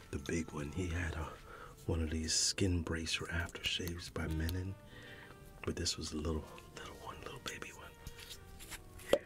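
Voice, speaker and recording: A middle-aged man whispering softly in an ASMR roleplay, reminiscing with gentle chuckles. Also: deep voice. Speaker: ASMR creator. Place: dim room at home.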